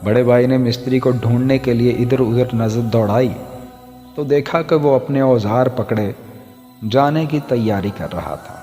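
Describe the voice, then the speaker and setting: A man narrates a moral tale at a slow, unhurried pace. His mid-pitched voice is formal and measured, like a scripted reading. It is soaked in long hall reverb. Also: warm tone. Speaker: storyteller. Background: background music.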